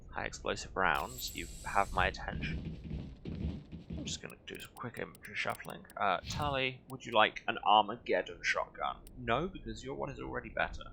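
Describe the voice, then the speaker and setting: A man's voice: on the low side, vocal fry, noisy background. A man giving casual let's play commentary on a video game, with dry, wry asides muttered to himself. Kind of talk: casual game commentary, some reading aloud of game text.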